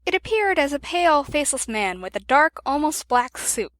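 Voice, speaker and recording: A woman narrates a scripted story in a high voice. Her intonation moves around a lot, and the recording is dry and close. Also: big pitch swings, normal speed, fairly bright tone, dry recording. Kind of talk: scripted narration of fan fiction.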